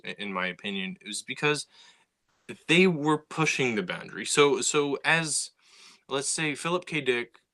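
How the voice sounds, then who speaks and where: A man with a bright, forward voice co-hosts a podcast in casual conversation. He talks with animated energy, stumbling over restarts and repeated words as his thoughts run ahead. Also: fairly low pitch. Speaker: podcast co-host.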